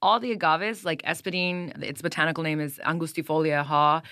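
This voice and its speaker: Low-voiced woman explaining her mezcal. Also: fairly even intonation, pushed and bright, dry recording, studio quality. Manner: measured, explanatory, with small repeated restarts. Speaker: mezcal brand owner. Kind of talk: casual interview answers.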